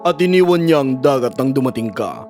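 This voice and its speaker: A man playing a stern, protective middle-aged king and father in an animated fairy tale, grave and commanding. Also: mid-range voice, brisk, over music, natural voice. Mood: protective concern.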